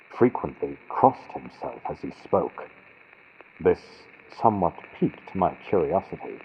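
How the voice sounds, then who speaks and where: A man narrating a gothic horror story in a deliberate, theatrical way, recorded on a built-in computer mic with a muffled vintage radio sound. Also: deep voice, slight background noise. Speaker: audiobook narrator. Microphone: built-in computer microphone.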